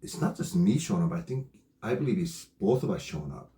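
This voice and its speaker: A man with a loud voice, laughing often, chatting with his partner on a talk show. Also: low voice, expressive, quick pace. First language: not English. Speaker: co-host. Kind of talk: casual couple conversation. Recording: echoey room.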